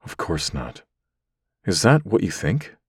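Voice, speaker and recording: A stern winged hunter played by a man speaks in an extremely deep, dry voice. He is a protective older brother in a fantasy audiobook, firm and brooding. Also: huge pitch swings, slow, a little mellow, husky, dry recording, heavily acted. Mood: guarded.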